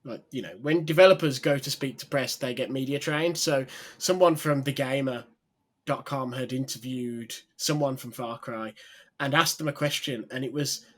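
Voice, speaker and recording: A man's voice: mid-range voice, moderate pace, a bit bright. A man co-hosting a casual video chat about games, talking with frequent ums and restarts. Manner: hesitant, many ums and restarts. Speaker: podcast co-host.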